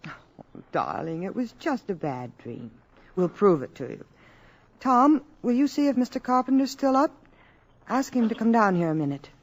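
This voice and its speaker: An actress playing a gentle but firm young mother in a 1950s radio drama, soothing and composed. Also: a little high, very animated, moderate pace, slight background noise.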